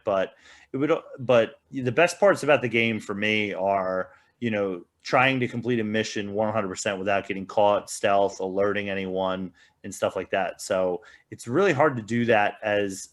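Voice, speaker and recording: A man giving casual opinions as a podcast panelist, in a rambling, hedging way. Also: on the low side. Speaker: podcast panelist reviewing films.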